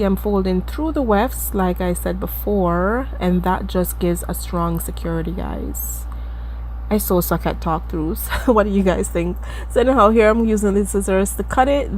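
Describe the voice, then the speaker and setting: A woman's voice: medium pitch, a little hiss or hum, poor audio. A woman from the Caribbean with a stuffy, congested nose from allergies, talking viewers through a wig-making tutorial in a chatty, self-deprecating way. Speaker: beauty vlogger.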